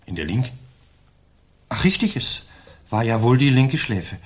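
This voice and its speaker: An actor playing an indignant gentleman suspected as an accomplice, protesting defensively in a 1970s German radio crime play. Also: mid-range voice, very animated, measured pace. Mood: uneasy. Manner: affronted, protesting.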